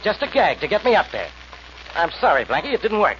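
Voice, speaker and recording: Sarcastic american police inspector, high voice, fast n swoopy, crackly muffled old radio drama. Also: male voice, big pitch swings, fairly bright tone. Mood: needling, brusque. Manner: sarcastic, needling, brusque. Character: gruff, sarcastic male police homicide inspector. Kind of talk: 1940s radio acting.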